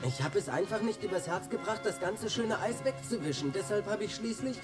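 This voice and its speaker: Young boy played by a voice actor, lively, carefree, bouncy intonation, cartoon dub, music behind. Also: mid-range voice, brisk, natural voice. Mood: sheepish. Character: cheerful mischievous young boy.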